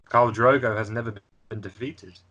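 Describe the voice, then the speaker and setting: A man's voice: lowish voice, steady tone, moderate pace, a bit bright, dull audio. A man playing an ambitious, scornful exiled prince in an amateur script table read, speaking with arrogant disdain. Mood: scornful, calculating.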